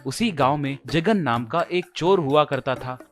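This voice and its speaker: A man's voice: fairly high pitch, animated, unhurried, soft background music. A man narrating a scripted folk tale as a storyteller.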